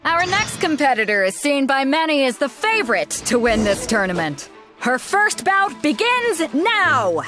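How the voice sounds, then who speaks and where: A woman's voice: sits high, big pitch swings, a little slow, fairly bright tone, soft background music, heavily acted. An actress voicing a bold, confident female pirate captain in a video game who hosts a tournament with a brash, teasing manner. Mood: announcing. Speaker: ship captain and tournament host.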